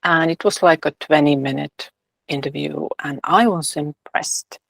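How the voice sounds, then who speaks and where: Gravelly-voiced woman, dry sound. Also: sits low, fairly even intonation, unhurried, no echo. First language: Finnish. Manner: thoughtful, hesitant with fillers. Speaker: guest, releasing facilitator and author. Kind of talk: casual interview talk.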